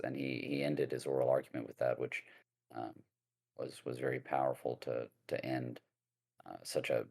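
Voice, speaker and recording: A man speaking as a guest lawyer in a casual podcast discussion, halting, with many fillers and restarts. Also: rumbling low, creaky, a little mellow, slightly rough.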